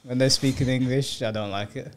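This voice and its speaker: A young man co-hosting a podcast, chatting casually and telling anecdotes with quick mock voices. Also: medium pitch, fairly even intonation, a little hiss or hum. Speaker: podcast co-host. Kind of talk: casual chat.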